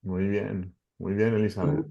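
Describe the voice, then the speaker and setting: A man's voice: lowish voice, level tone, unhurried, gravelly, warm tone, lo-fi sound. A Colombian man, a pastor, casually hosting an online class in an encouraging way with short repeated acknowledgements. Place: online video call.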